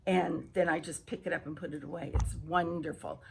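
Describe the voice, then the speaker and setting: A woman vlogger with a hoarse early-morning voice, chatting casually and haltingly to viewers as she repeats and restarts phrases. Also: low-pitched, fairly even intonation, slight background noise. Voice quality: husky, hoarse. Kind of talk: casual rambling chat to viewers. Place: outdoor home patio.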